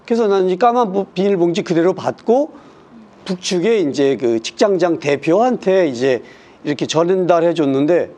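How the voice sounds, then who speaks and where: A man on a discussion panel, recounting a conflict in an earnest, measured way. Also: high voice, expressive, mellow.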